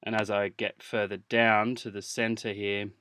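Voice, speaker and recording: A man teaching music software in an informal tutorial, hesitant as he thinks aloud while demonstrating. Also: on the low side, flat delivery, moderate pace. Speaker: music production instructor. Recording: clear background noise, mouse clicks.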